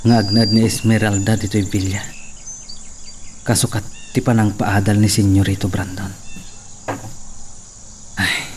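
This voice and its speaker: A man playing a worried, loyal young servant in a radio drama, with an anxious, hushed manner. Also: on the low side, little pitch movement, a little slow, long pauses, noisy background, natural voice. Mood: troubled. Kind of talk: radio drama acting with inner monologue.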